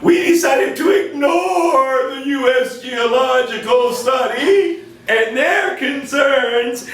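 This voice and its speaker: A man voicing a gleefully dismissive puppet bureaucrat in a satirical skit, giggly and flippant, with drawn-out exaggerated delivery. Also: very high voice, fairly bright tone, heavily acted. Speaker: agency spokesman in satirical skit. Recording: very echoey room, far from microphone.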